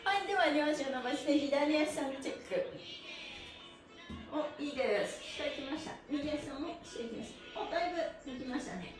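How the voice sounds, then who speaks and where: A woman's voice: high voice, lively intonation, brisk, music in the background. A middle-aged woman leading a live stretching class in a cheerful, encouraging, polite manner, recorded indoors from a few metres away. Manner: cheerful, encouraging, laughing often; polite, playfully adds honorifics to body parts. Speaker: stretching instructor, livestream host. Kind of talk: live exercise class with counted chants. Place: small bare-walled room with wooden floor, indoors. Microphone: camera mic a few metres away. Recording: echoey room, distant microphone.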